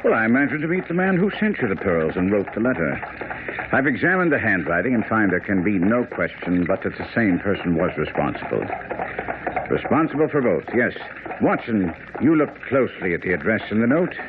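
Assured master detective, decisive, correcting, noisy narrow-band radio play. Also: male voice, on the low side, big pitch swings, fairly quick. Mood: assured, inquiring. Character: brisk, self-assured English master detective. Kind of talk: radio drama acting.

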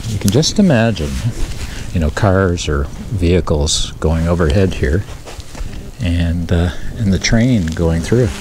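A man's voice: sits low, expressive, slow, long pauses. A man talking casually to his action camera while hiking along an old rail bed, chatty and easily amazed, with lots of fillers. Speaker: hiking vlogger. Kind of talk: casual walking commentary. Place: outdoors in a wooded gully by an old rail bed. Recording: noisy outdoor camera audio.

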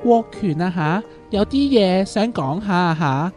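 A woman's voice: fairly low pitch, expressive, unhurried, a little mellow, faint music behind. A woman playing a dramatic, clingy, lovesick young man with theatrical, whiny delivery in a comic radio drama. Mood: earnest, pleading. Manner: theatrical, whiny, repeats words.

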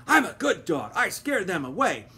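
A man voicing an excitable pet dog who yelps and barks loudly. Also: high-pitched, moderate pace, slightly rough, voice pushed up, exaggerated voice. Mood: proud. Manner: yelping, shouting.

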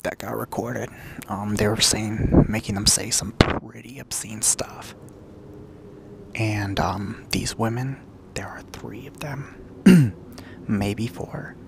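A man speaking in a hushed, halting, unscripted monologue, breathy and gravelly, with frequent pauses. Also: fairly low pitch, steady tone. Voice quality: breathy whispering with gravelly fry.

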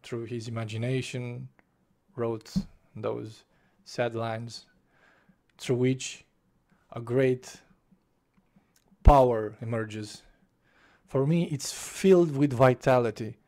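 Young man, unhurried, dark warm tone, home bedroom desk-mic book vlog. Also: mid-range voice, fairly even intonation. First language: Romanian. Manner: passionate, gestures with both hands, restarts phrases. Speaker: book reviewer. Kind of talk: casual spoken book review. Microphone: desk microphone in front of face, webcam framing.

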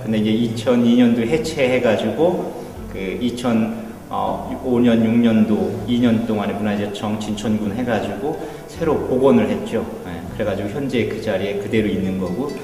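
A middle-aged man, an Anglican priest, giving an informal explanatory tour and pausing to find his words. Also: lowish voice, a little mellow. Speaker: Anglican priest, church guide. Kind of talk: informal explanatory guided tour of church history. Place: inside a wooden church hall. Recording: echoey hall, distant mic, faint music.

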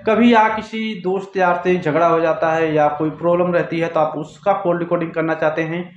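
A man's voice: fairly high pitch, fairly even intonation, a little fast. Male tech tutorial host, explains step by step and repeats himself; dull, echoey indoor recording. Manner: repetitive, instructional phrasing. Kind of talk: step-by-step phone tutorial to camera. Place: small indoor office, seated. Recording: echoey room, dull muffled sound.

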